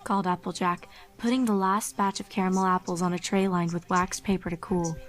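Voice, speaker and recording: A woman's voice: lowish voice, flat delivery, brisk. A woman reading a scripted story as a dramatic narrator. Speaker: fan fiction narrator. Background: music under the reading.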